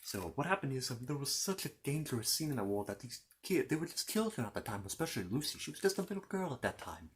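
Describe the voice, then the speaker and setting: A man performs a courteous, fussy keeper of storybook histories in an ASMR roleplay. His mid-pitched voice rises and falls widely as he rambles, hesitates and repeats himself apologetically. Faint noise sits behind the voice. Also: big pitch swings, fast, hardly any pauses, natural voice. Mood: storytelling. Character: fussy, courteous Narnian bookkeeper recounting his world's history.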